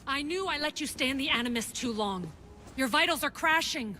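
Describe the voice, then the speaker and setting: A woman's voice: sits high, lively intonation, a little fast, sharp tone. An actress playing a caring but firm woman doctor who keeps a colleague's health in check. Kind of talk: game cutscene acting. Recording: long-reverb room with faint noise.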